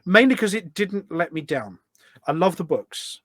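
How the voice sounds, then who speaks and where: Male podcast guest, enthusiastic rambler with a fairly high voice, casual interview chat, close dry recording. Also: very animated, normal speed, a bit bright, no echo. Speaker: podcast guest, gaming community event organiser.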